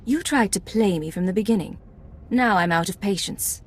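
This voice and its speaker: An actress playing a hard-edged, suspicious secret agent in a video game, curt and controlled. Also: female voice, medium pitch, moderate pace, breathy edge, close to an everyday voice. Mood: stern, impatient.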